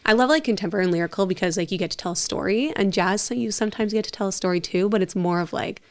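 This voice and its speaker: A woman's voice: mid-range voice, rapid-fire. A woman co-hosting a podcast in casual chat, talking informally and peppering it with "like". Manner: informal, frequent "like" as filler. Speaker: podcast co-host.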